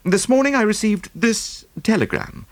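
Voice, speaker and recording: An actor voicing a well-bred, self-deprecating English gentleman in an audiobook, with a courteous, hesitant, explanatory manner. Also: high-pitched, animated, moderate pace, no echo, pitched above the performer's own voice. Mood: explaining. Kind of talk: audiobook character acting.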